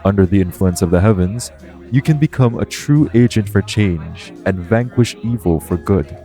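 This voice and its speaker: A composed celestial envoy acted by a man speaks in a low, very mellow voice. The delivery is formal, measured and solemn, like an audio drama negotiator, with music underneath. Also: animated. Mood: calm, persuasive. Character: composed, formal male angel of the heavens, persuasive. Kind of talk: audio roleplay acting.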